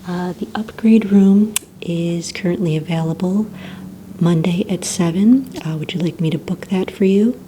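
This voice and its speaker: A woman doing a soft-spoken ASMR roleplay as a polite receptionist, in a gentle, breathy near-whisper with unhurried delivery. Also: fairly low pitch, background noise. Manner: gentle, polite, unhurried customer-service patter. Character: courteous, organized female villain-agency receptionist. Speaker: ASMR roleplay performer as a villain recruitment receptionist.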